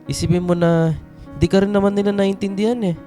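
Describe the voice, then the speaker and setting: A young husband in a radio drama, acted by a man, with a soft-edged mellow tone and a slightly raised pitch. He is gentle, caring and reassuring. Music runs underneath. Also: flat delivery, fast, lightly acted. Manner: gentle, concerned, soothing.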